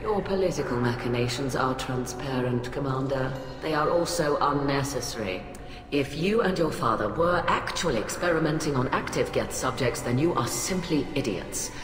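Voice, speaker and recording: An actress playing a cold, haughty alien admiral in a video game, speaking in a clipped, formal, condescending way. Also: female voice, a bass voice, lively intonation, normal speed. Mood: cool disdain. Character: cold, haughty alien admiral, female, middle-aged scientist, ruthlessly rational. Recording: echoey hall reverb, music behind.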